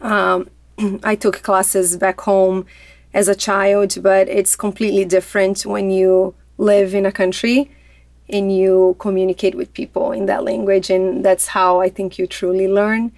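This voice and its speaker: A woman from Brazil with a Brazilian Portuguese accent, chatting in a relaxed way as a podcast guest. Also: medium pitch, measured pace, no room sound. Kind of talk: interview conversation.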